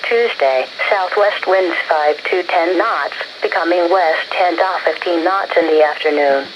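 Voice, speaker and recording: A woman's automated announcer voice reads a scripted forecast over the radio. It is slightly low, measured and synthetic, with clear noise on the recording. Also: lo-fi sound. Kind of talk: scripted marine forecast readout.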